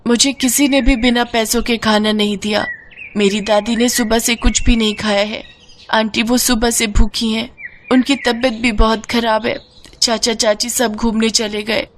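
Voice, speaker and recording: An innocent little girl from a moral tale, played by a woman. Her high voice is worried, earnest and pleading, with faint noise behind it. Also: level tone, measured pace, lightly acted. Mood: sad, earnest.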